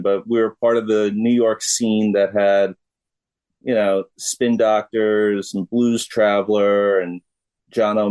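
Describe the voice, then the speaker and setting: Middle-aged male musician guest, unhurried pace, fairly low pitch, casual interview answers. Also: a little mellow.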